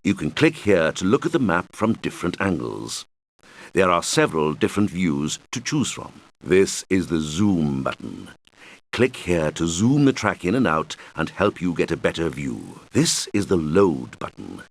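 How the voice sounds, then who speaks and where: Low-pitched male game guide, very lively intonation, patient, instructional, speaking to children. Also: a little slow, heavily acted. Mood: patient, instructive. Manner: patient, clearly explanatory, addressing children. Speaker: game tutorial guide character. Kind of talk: scripted instructional narration for a children's video game.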